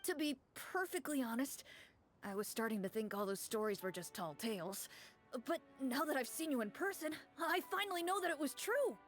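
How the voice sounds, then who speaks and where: A woman's voice: high voice, lively intonation, normal speed, soft background music. A woman voicing an anxious, grateful townsman in a game, speaking in a flustered, earnest and theatrical way. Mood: earnest worry.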